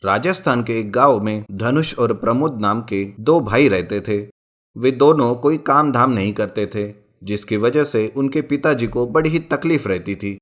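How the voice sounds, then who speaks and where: A man reading a scripted folk tale as a storyteller, in narration for an animated story. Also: mid-range voice, a little slow. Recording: music bed behind the voice. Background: background music.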